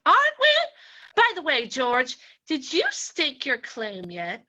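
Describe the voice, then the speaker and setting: High, lively saloon woman played by an actress. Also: slow pace, full character voice. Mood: flirtatious. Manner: coy, animated. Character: flirtatious saloon woman, gangster's wary accomplice. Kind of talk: old-time radio drama reading.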